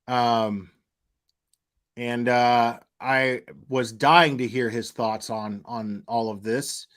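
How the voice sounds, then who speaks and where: A man's voice: medium pitch, steady tone, takes it slowly, a bit bright. A man hosting a live reaction stream with casual commentary, speaking bluntly and informally with some swearing.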